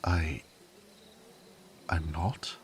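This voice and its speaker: A man's voice: rumbling low, flat delivery, drawn-out words, slight background noise, exaggerated voice. A man playing a loyal royal servant in a fantasy audio drama, formal, courteous and restrained, with dry wit. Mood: formal, flustered.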